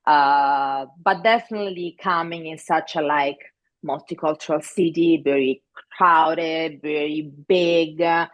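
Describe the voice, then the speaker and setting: Low-voiced Italian-accented woman. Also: steady tone, measured pace, muffled recording. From Italy. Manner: hesitant, many fillers and restarts. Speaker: guest. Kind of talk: casual interview talk.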